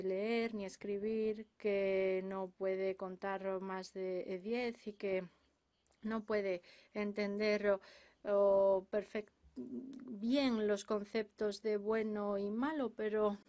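A woman working as a simultaneous interpreter at a conference, speaking into a booth headset mic. She talks slowly and carefully, pausing on filler sounds and restarting phrases as she keeps up with the speakers. Her pitch sits in an ordinary middle range. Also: level tone, darkish tone. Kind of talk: simultaneous interpretation of panel speeches.

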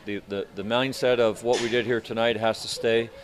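An older man in his sixties, a hockey coach answering reporters after a game, speaking in a measured, halting way with many restarts. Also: medium pitch, level tone, a little hiss or hum, poor audio. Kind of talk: postgame press interview. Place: arena media room in front of sponsor backdrop.

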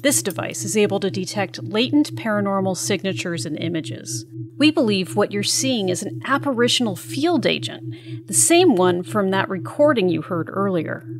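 A woman's voice: mid-range voice, expressive, normal speed, crowd noise, close to an everyday voice. A woman playing a composed, earnest intelligence agent in an audio drama. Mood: serious, matter-of-fact.

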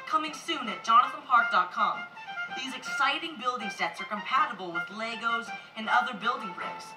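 A woman's voice: on the high side, close to an everyday voice. A woman playing an eager, wholesome young girl in an audio drama, speaking earnestly and neatly. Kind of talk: scripted audio drama acting and promo. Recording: played from a TV, roomy, music behind.

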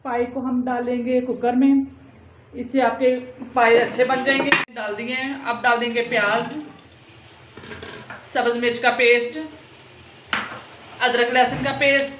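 A woman gives calm, step-by-step cooking instructions in a fairly high but flat voice. Her home kitchen sounds roomy. The recording is muffled and has clear background noise. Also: measured pace, long pauses. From Pakistan. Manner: calm, plain instructional delivery. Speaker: home cooking channel host.

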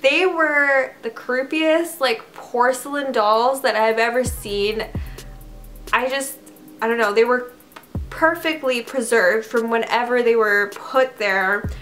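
A woman's voice: on the high side, takes it slowly, fairly bright tone, soft background music. A young woman from Canada telling a story to camera in a casual, chatty way, full of "like" and "I don't know". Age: young adult. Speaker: vlogger. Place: bedroom, indoors.

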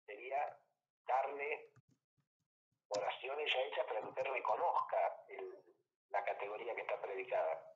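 A man's voice: medium pitch, moderate pace, pauses often, fairly bright tone, reverberant, lo-fi sound. Patient Argentine man teaching logic. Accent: Rioplatense Spanish. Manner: patient, explanatory, checks understanding with tag questions. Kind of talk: tutoring lesson over a call.

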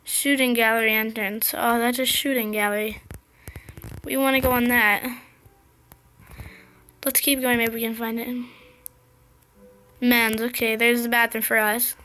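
Excitable young girl, slightly scratchy voice. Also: a little high, a little slow, pauses often. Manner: excited, chatty, impatient exclamations. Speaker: gameplay video host. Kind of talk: casual gameplay commentary.

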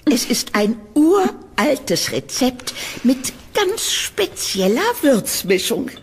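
Elderly landlady, played by a woman: slow, husky, cloyingly motherly, for horror radio play. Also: high-pitched, big pitch swings, heavily acted. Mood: motherly, insistent. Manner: fussy, drawn-out, cooing. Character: sweet, frail elderly landlady, eerily motherly. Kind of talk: German audio horror drama acting.

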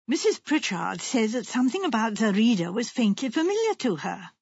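Storybook nurse, voiced by a woman: grave, sensible, matter-of-fact, wary, higher female register. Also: very animated, brisk, creaky, darkish tone, husky, no room sound, lo-fi sound, full character voice. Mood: suspicious. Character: grave, practical, shrewdly suspicious female nurse. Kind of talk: audiobook character voice.

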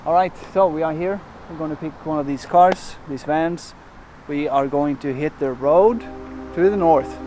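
A man in his forties vlogging casually to a handheld camera outdoors and in a van, relaxed and rambling with many fillers and restarts. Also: a little high, unhurried, hardly any pauses, soft background music, low-quality recording. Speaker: travel vlogger. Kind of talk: casual vlog narration to camera. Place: outdoors in a parking lot and inside a van. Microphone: handheld camera at arm's length.

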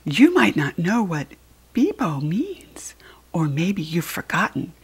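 Breathy American woman reading slowly to children. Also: fairly low pitch. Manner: expressive, addressing small children. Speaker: children's book reader. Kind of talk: read-aloud storytelling for young children.

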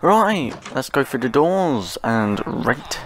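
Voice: medium pitch, very animated, takes it slowly, music in the background. A gaming host giving casual, rambling commentary while playing, often murmuring short repeated phrases.

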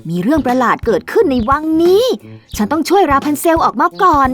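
A woman's voice: high-pitched, big pitch swings, fast, fairly bright tone, soft background music. A woman voicing a loyal, earnest unicorn who exclaims with worry and determination in a children's fairy tale cartoon. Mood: eager, determined. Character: loyal unicorn hero friend, worried but determined.

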